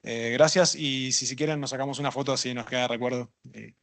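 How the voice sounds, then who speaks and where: A man answering questions at a workshop, relaxed and thinking aloud with small jokes. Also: mid-range voice, quick pace, vocal fry. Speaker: workshop instructor. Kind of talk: casual lecture Q&A.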